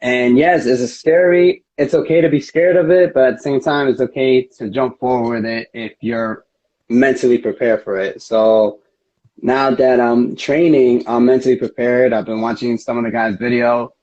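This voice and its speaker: A man's voice: medium pitch, fairly even intonation, normal speed, darkish tone. A man speaking casually as a fighter being interviewed, telling things in an earnest, rambling way. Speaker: MMA fighter, interview guest. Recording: dull lo-fi audio.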